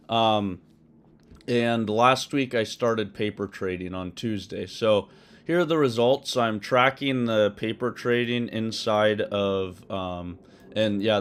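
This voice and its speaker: A man hosting a live coding stream, talking loosely with many ums and uhs and correcting himself as he goes, his voice a bit hoarse. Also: medium pitch. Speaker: livestream host coding a trading tool. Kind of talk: casual live-stream explanation.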